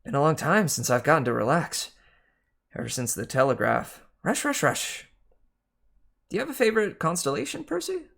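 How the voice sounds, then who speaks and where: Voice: medium pitch, big pitch swings, moderate pace, gravelly, a bit bright, pitched above the performer's own voice, exaggerated voice. A reader voicing a mischievous, worldly male god, chatty and teasing, in a storybook reading. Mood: breezy, chatty.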